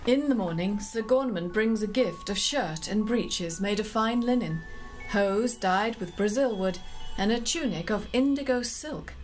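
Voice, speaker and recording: Lady storyteller reading a tale, measured and poetic, mid pitched voice, soft music under it. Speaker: narrator. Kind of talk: scripted storytelling narration.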